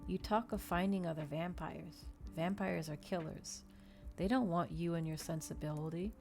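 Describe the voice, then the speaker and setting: A woman's voice: on the low side, moderate pace, vocal fry, darkish tone, faint music behind. A woman voicing a cold, mocking, seductive male vampire who lectures and commands, in dramatic audiobook dialogue. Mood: coolly persuasive. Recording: noticeable background noise.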